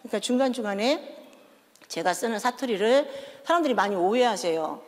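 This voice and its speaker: A woman in her fifties with a Chungcheong accent, a pastor's wife giving a joking, animated testimony in a large church. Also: on the high side, moderate pace. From Daejeon, South Korea. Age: 50s. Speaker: pastor's wife, guest speaker. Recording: echoey church hall.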